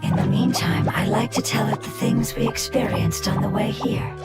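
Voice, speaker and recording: A voice actor playing a calm character who translates for the creatures in a game. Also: mid-range voice, moderate pace, close to an everyday voice. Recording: game audio with loud music.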